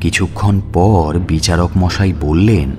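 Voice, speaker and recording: A man narrating a scripted suspense story for radio in a measured, dramatic storytelling manner. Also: low voice, fairly even intonation, mellow, a little hiss or hum. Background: music.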